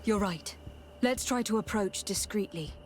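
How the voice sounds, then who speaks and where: A woman's voice: medium pitch, slight background noise, natural voice. Composed, principled young noblewoman played by an actress. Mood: earnest, resolute. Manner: measured, articulate, formal. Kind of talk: video game voice acting.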